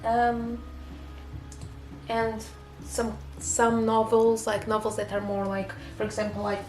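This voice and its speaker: A woman's voice: on the high side, completely flat delivery, slow pace, a little mellow, reverberant, faint music behind, low-quality recording. A woman from Portugal with a Portuguese accent, English not her first language, chatting casually in a home vlog with many fillers and restarts. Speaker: booktuber.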